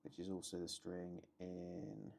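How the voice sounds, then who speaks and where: A man's voice: completely flat delivery, a little slow, a little mellow. A man thinking aloud while he codes, muttering half-finished remarks to himself. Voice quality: low, gravelly voice. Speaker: solo coding streamer.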